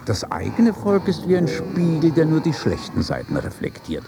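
A man's voice: on the high side, huge pitch swings, normal speed, vocal fry, background noise, exaggerated voice. An actor playing a wry, pompous old aristocratic statesman and father in a literary radio drama, speaking in ornate, world-weary phrases. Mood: measured, firm. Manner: pompous, sardonic, ornate declamation.

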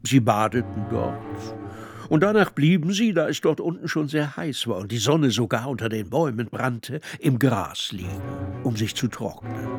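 Eager servile manservant, medium pitch, storytelling radio drama letter, faint music. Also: male voice, breathy edge. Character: obliging male servant reporting scandalous findings to his master.

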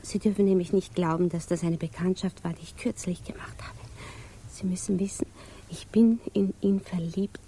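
An actress playing a naive, lovestruck and anxious woman in a 1950s German radio drama, confiding hesitantly and often slipping into breathy whispers. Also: fairly low pitch, steady tone, moderate pace, mellow, a little hiss or hum, natural voice. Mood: shyly elated.

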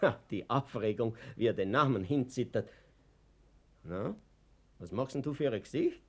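Radio play role: blustering, uneasy Viennese father, slightly rough voice, very animated, colloquial speech. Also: medium pitch, normal speed. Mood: lively, chatty. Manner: colloquial, exclamatory, dialect-tinged. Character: anxious, blustering Viennese working father, reluctant party member. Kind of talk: naturalistic German radio play acting.

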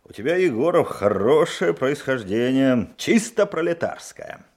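An actor playing a pompous, skeptical policeman in a radio drama, explaining things in a patronising, emphatic, halting way. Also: mid-range voice, very animated, a little mellow. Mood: condescending. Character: pompous, skeptical male police colleague, sneering at a novice.

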